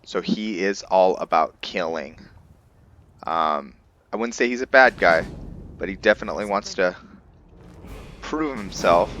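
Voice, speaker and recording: A man's voice: low voice, a bit bright, slight background noise. A man giving casual gameplay commentary as a let's player, thinking aloud in short bursts.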